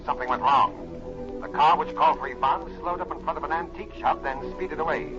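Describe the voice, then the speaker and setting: An actor plays an urgent male secret agent in an old radio drama, terse and commanding. The sound is muffled, with music clearly behind. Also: a little high, brisk, lightly acted. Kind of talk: 1940s radio drama acting.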